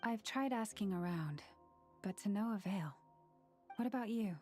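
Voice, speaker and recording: Soft-spoken, composed game priestess played by a woman, mid-pitched, faint score behind. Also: animated, soft background music, close to an everyday voice. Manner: measured, soft-spoken, formal. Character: gentle young woman, a calm and thoughtful spiritual leader. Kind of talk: video game voice acting.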